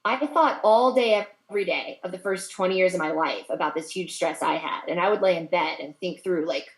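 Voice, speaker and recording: Brief: middle-aged woman, candid and thoughtful, lowish voice, roomy echo. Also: brisk. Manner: candid, reflective, laughs easily while telling stories. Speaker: group chat participant. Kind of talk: casual chat.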